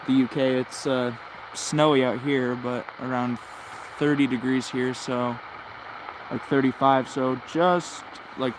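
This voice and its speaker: A man's voice: mid-range voice, noisy background. A man hosting a casual live radio-tuning session, thinking aloud as he comments. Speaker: shortwave radio hobbyist host.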